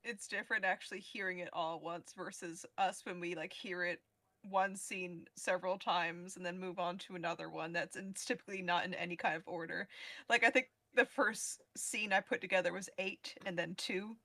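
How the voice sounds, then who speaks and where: A woman's voice: fairly low pitch, fairly even intonation, normal speed, bright, forceful tone, dry recording. A woman, a podcast crew member, chatting casually in a group.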